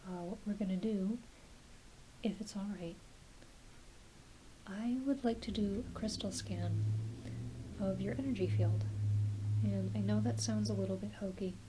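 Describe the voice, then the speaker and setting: Slow, whispery woman in gentle ASMR. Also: medium pitch, fairly even intonation. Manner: gentle, reassuring, hesitant, speaking closely to one patient. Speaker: ASMR performer playing a doctor. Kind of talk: ASMR medical exam roleplay, soft-spoken and whispered. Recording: clear background hiss.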